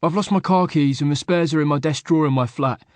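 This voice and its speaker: A man's voice: fairly high pitch, fast talker, dry recording, voice pushed up, lightly acted. A man doing a clipped, impatient young man. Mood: tense.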